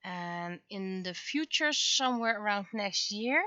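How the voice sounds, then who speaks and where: A woman chatting casually on a livestream as an artist at work, speaking haltingly as she thinks aloud. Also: medium pitch, lively intonation, sharp tone, no room sound, highs cut off.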